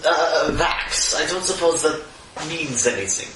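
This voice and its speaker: A man's voice: a little high, a little slow, echoey room, distant mic, background noise, lightly acted. A man voicing a formal male Russian schoolteacher in a put-on Russian accent, speaking in clipped, stiff sentences. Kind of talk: tabletop roleplay in character.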